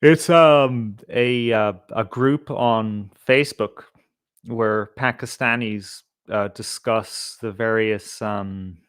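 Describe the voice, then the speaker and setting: A man's voice: lowish voice, fairly even intonation, slow, a bit bright, clean tone, no room sound, clean studio sound. A middle-aged man with an Irish accent hosting a casual live stream, swearing freely as he rambles and hesitates through his commentary. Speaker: livestream host.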